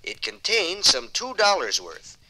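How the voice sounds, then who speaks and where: A man's voice: a little high, huge pitch swings, a little slow, background noise. An actor playing a formal 19th-century newspaperman, declaiming his report in a 1950s radio drama. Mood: formal, proclaiming.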